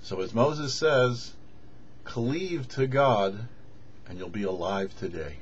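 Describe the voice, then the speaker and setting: A man, a rabbi, giving scripted religious teaching with deliberate, emphatic phrasing and pauses. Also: medium pitch, slightly rough, highs cut off. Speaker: rabbi, host of a weekly Torah show.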